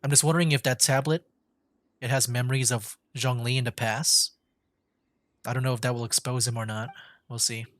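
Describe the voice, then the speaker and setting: A man's voice: medium pitch, steady tone, fairly quick, long pauses, fairly bright tone. A man streaming a video game with casual, tentative reactive commentary, thinking aloud. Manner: musing, tentative.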